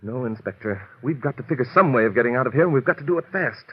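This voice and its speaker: A man playing a calm, shrewd detective in an old-time radio mystery, speaking in a deliberate, politely pointed way. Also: medium pitch, husky. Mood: grave, composed. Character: calm shrewd male detective, methodical and unflappable. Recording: dull lo-fi vintage radio recording.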